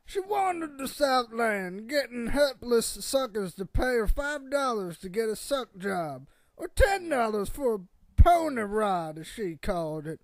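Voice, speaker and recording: An actor playing the world's oldest man in a comedic radio play, crude, boastful and cantankerous, rambling in a folksy, gravelly voice. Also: medium pitch, sing-song, a little slow, no echo. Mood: grandiose, testy. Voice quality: creaky, gravelly old-timer voice. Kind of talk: comedic radio theatre interview.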